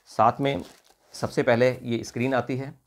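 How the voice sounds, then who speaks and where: A man's voice: medium pitch, steady tone, moderate pace. A man with an Indian accent giving an unscripted product demonstration, explaining step by step and often rephrasing in mixed Hindi and English. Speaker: product reviewer.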